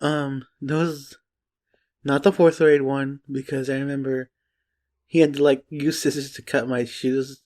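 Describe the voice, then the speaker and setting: A young man co-hosting a podcast in casual comedic chat, teasing and playful, feeling his way haltingly through sentences. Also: medium pitch, little pitch movement, a little mellow. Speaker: podcast co-host.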